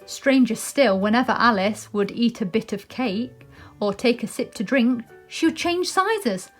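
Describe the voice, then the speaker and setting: High, lively British woman storyteller. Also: a little slow, faint music behind. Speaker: storyteller and presenter. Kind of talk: children's story read aloud.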